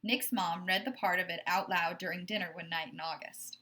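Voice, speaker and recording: Bright-voiced woman reading a children's story. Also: on the low side, steady tone, fairly quick. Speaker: teacher reading aloud. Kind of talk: expressive children's read-aloud narration.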